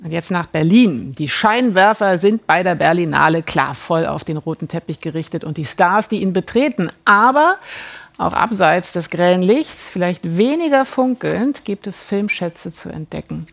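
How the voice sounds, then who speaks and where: A woman's voice: fairly low pitch, animated, normal speed, gravelly. A woman presenting the news, leading into a report. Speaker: news anchor.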